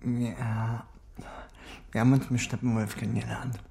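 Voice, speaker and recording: An actor playing a volatile, desperate ex-convict in a radio crime drama, speaking in halting, clipped fragments. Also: male voice, medium pitch, flat delivery, gravelly, mellow, natural voice. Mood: subdued, evasive. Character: volatile, desperate male ex-convict cornered by police. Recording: studio radio play with music.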